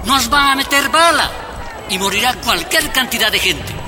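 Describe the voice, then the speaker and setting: A man's voice: very high voice, expressive, moderate pace. A man playing a sober miners' union leader in a radio drama, urgently addressing people through a megaphone. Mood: grave, urgent. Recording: outdoor crowd noise with music.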